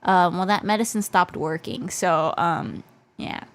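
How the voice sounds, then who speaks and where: A woman's voice: fairly low pitch, a little slow, smooth. Casting: female livestreamer, casual and playful, hesitant and repetitive, stuffy nose, voice slightly worn out. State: slightly losing her voice. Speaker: VTuber livestreamer. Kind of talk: casual livestream chat in English mixed with Japanese.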